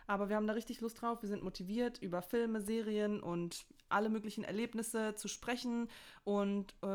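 A young woman hosting a casual podcast, talking in an upbeat, giggly and rambling way. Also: medium pitch. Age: young adult. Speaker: podcast host. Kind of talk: casual chat.

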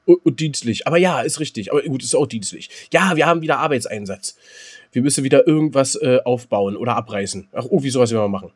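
A husky-voiced man co-hosts a podcast. He talks quickly and casually, tripping over repeated words and joking dryly. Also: mid-range voice, expressive. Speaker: podcast co-host. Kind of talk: casual chat.

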